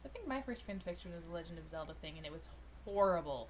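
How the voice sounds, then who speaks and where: A woman's voice: on the low side, expressive, fast. A young woman co-hosting a casual group chat in a dry, understated manner. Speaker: podcast co-host. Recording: noisy room with background ambience.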